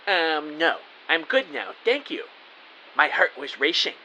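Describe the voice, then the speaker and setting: Very bright, excitable rookie spy played by a man. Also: a little high, a little slow, heavily acted, rough recording. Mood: hurried. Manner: eager, melodramatic. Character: earnest, excitable male rookie spy narrating his adventure. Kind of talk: first-person audio drama narration with dialogue. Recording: faint background hiss.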